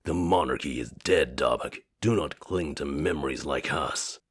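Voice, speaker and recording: An actor playing a cold, ruthless commander who speaks in a measured, unyielding way, voiced for a visual novel game. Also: male voice, very deep voice. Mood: cold, stern.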